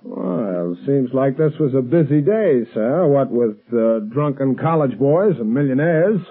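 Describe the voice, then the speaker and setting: An actor playing a plain-spoken, respectful police sergeant in 1930s radio drama style, a little hesitant and folksy. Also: male voice, mid-range voice, lively intonation, unhurried, slightly rough. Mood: respectful, wry. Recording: muffled old 1930s radio recording.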